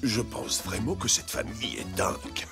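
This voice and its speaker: Casting: sceptical, dry neighbour father in kids' cartoon; very swooping pitch, music underneath. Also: huge pitch swings, moderate pace, gravelly. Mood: bemused. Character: bemused, sceptical neighbour dad. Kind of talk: dubbed children's cartoon acting.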